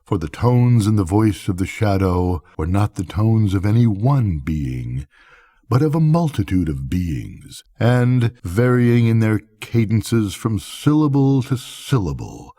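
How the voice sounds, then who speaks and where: An older man reading a gothic bedtime story aloud in a solemn, measured, theatrical manner. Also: fairly low pitch, big pitch swings, dark tone. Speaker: storybook reader.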